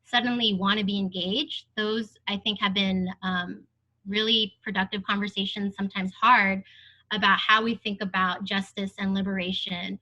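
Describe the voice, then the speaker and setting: A woman's voice: mid-range voice, steady tone, moderate pace, bright, forceful tone, dull audio. A young adult woman speaking earnestly and thoughtfully as a panelist in a discussion, with frequent fillers.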